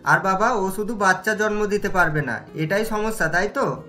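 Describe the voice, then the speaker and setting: Casting: male actor as determined young lover for cartoon, forceful bright tone, pleading delivery, music bed. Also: high voice, moderate pace. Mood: earnest, insistent. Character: earnest, insistent young man in love. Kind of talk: cartoon story dialogue. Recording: music behind the voice.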